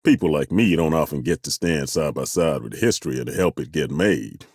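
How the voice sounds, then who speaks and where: An actor playing a burly, good-humoured ageing masseur turned adventurer in a video game, with a husky voice and a folksy, self-deprecating, joking way of talking. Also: male voice, rumbling low, animated, a little mellow, dry recording, studio quality, exaggerated voice. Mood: humbled, wondering. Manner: folksy, joking, chuckling, drawn-out hesitations. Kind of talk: video game character dialogue.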